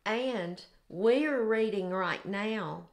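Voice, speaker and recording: An older woman with a Southern US accent, sharing an informal devotional in an earnest, deliberate way. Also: mid-range voice. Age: 60s or older. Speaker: host of a devotional video. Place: home kitchen, indoors.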